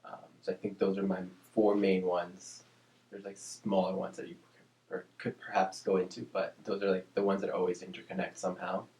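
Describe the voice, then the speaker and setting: Low-voiced man, thoughtful and measured. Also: little pitch movement, dark tone, reverberant, a little hiss or hum. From Oakland, California. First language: Spanish. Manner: thoughtful, measured, many ums and restarts. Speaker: interview guest, speech-language pathologist. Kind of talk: reflective casual interview.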